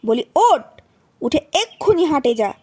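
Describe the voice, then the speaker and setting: A woman voicing a bossy, sharp-tongued, scheming aunt and mother in a Bengali cartoon. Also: sits high, very animated, measured pace, full character voice. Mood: scolding. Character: shrill, scolding, scheming aunt and doting mother. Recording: music behind the voice.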